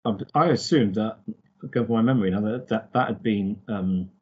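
Halting low-voiced man, slightly husky. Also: highs cut off. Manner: halting, repeats words while thinking. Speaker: podcast co-host. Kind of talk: casual book discussion.

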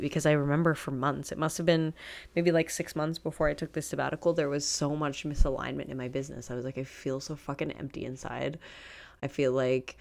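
A woman's voice: very deep voice, vocal fry, a little mellow. A young woman hosting her own podcast, talking frankly and swearing freely in a rambling solo monologue while sniffly from a cold. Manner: frank and heavily profane, rambling with fillers. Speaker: podcast host.

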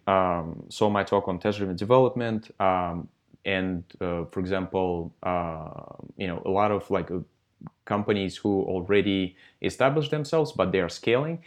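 Deep-voiced man, halting. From Russia. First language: Russian. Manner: halting, lots of fillers. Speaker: guest, software company founder. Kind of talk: casual interview answers.

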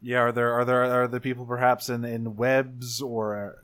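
A man's voice: medium pitch, flat delivery, normal speed, fairly bright tone, smooth. A man leading a tabletop roleplaying game in casual talk, thinking aloud as he goes. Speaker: player and game leader in an actual play podcast.

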